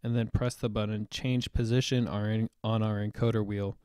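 Low, smooth male tutorial voice. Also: flat delivery, normal speed, darkish tone, dry recording, studio quality. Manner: explanatory, step-by-step, with occasional fillers. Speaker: tutorial presenter. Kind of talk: screen-recorded software tutorial. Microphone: voice-over on a screen recording.

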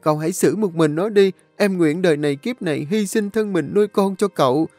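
A man's voice: mid-range voice, animated, normal speed, darkish tone, no room sound, soft background music, natural voice. A man voicing a desperate young village woman who pleads and wails for mercy. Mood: begging, wailing. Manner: wailing, pleading.

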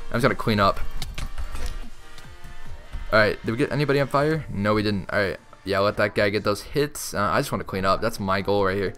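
A young man talks through his own gameplay in a chatty, excitable way, addressing viewers directly. His voice sits on the low side and sounds strained and worn from shouting. Faint music plays underneath. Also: brisk. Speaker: gaming YouTuber. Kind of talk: casual gameplay commentary.

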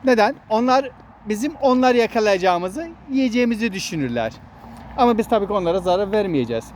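A man's voice: high-pitched, lively intonation, fast talker. A man hosting a casual walking vlog outdoors, explaining things as a father talking to his child and to viewers. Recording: noisy outdoor recording with traffic hum.

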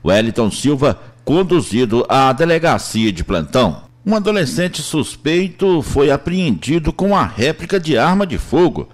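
A man's voice: a little high, very animated, normal speed, hardly any pauses. Brazilian male police news reporter. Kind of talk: scripted news narration.

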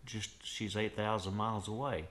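A man's voice: lowish voice, steady tone, moderate pace, a bit bright, a little hiss or hum. An older man with a Southern US accent, telling a personal story to camera in an earnest, rambling way, with frequent "and, uh" fillers. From Southern United States. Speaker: vlogger. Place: living room, indoors.

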